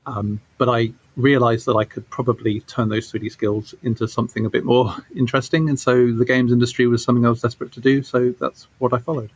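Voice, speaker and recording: Male interview guest, forties, mid-pitched, thoughtful and halting casual delivery, dry sound. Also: flat delivery, breathy edge, no echo, poor audio. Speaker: guest, game studio creative director.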